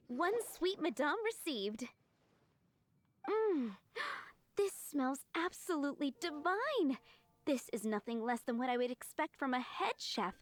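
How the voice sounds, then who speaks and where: An actress playing a friendly, encouraging young woman who runs a restaurant counter in an anime video game. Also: high-pitched. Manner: encouraging, effusive.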